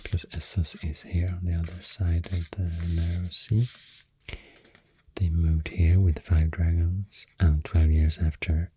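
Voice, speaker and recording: Male ASMR creator, Swedish accent, soft breathy near-whisper; flat, slow, unscripted delivery on a close mic. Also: sits low, a little hiss or hum. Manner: hesitant, unscripted, unhurried. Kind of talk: soft-spoken ASMR rambling explanation. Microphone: close-up ASMR microphone.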